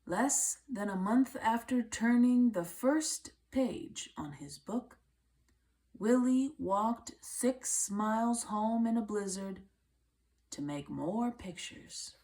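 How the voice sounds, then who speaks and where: A woman reading a children's picture book aloud as narration, with measured, deliberate phrasing. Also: fairly high pitch, animated, dark tone. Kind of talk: scripted read-aloud narration.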